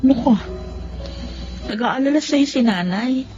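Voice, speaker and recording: An actress playing a concerned, no-nonsense young adult older sister in a Filipino radio drama. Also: female voice, high-pitched, animated, a little slow. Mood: chiding. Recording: muffled lo-fi audio under loud music.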